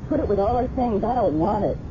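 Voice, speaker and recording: An actress playing a candid, rueful working woman in a 1970s radio drama, expressive and confessional. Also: mid-range voice, quick pace, lightly acted. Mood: guilty, rueful. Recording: dull, noisy old radio broadcast.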